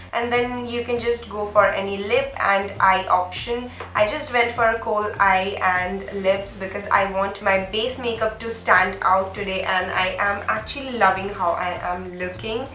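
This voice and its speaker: A woman's voice: medium pitch, bright, forceful tone. A young woman with a nasal voice from a cold, chatting through a makeup tutorial step by step. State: sick with a cold. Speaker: beauty vlogger. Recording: echoey room, faint music.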